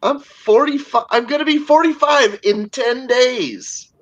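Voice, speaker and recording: A man's voice: extremely high pitch, unhurried, a bit bright, muffled recording. A man in his forties joking and laughing on a group video call. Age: 40s. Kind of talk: casual chat.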